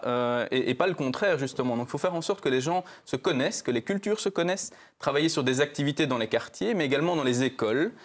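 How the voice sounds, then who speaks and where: A local election candidate in a televised debate who speaks earnestly and often restarts his phrases. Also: on the high side. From Italy. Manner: earnest, hesitant with repeated restarts.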